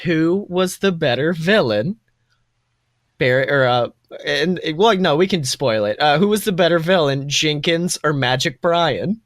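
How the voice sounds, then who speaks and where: A man's voice: on the high side, expressive, measured pace, a bit bright, dry recording, studio quality. A man co-hosting a podcast in casual fan chat, gushing enthusiastically in rambling, hyperbolic stories. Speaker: podcast co-host.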